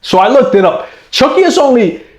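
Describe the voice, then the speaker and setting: A man's voice: very high-pitched, sing-song, a little fast, reverberant. A man from Rico, rambling casually in a horror movie review and often tossing in "right?" and "bro". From Puerto Rico. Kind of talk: casual rant to camera.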